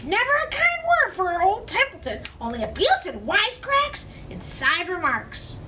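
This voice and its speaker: Woman as a lazy, snide male rat, voice jumping way up high, mic set back. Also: wildly swooping pitch, pushed and bright, recorded from a distance, a little hiss or hum, full character voice. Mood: mocking. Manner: mocking, drawn-out whine.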